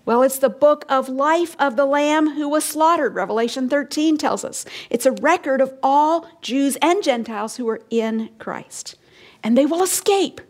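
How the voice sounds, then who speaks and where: Emphatic preacher woman, fairly high voice. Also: moderate pace. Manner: emphatic, rising and falling, drops to hushed lines; explains and asks the listeners questions. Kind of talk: expository sermon.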